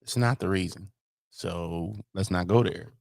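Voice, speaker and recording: A man's voice: deep voice, a little slow, creaky, darkish tone, dry recording, studio quality. An American man co-hosting a sports podcast, talking casually and emphatically about football with strong opinions, repeating phrases to drive them home.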